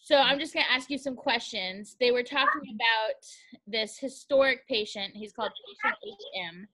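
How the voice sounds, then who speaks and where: Woman, interviewer, friendly explaining, bright sharp tone, slightly high. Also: moderate pace, low-quality recording. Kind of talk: casual home interview.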